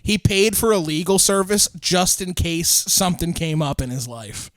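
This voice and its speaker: A man's voice: sits high, expressive, normal speed, no room sound. A man co-hosting a comedy podcast, teasing his friend in mock outrage and swearing often. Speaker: podcast co-host. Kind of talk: casual comedic banter.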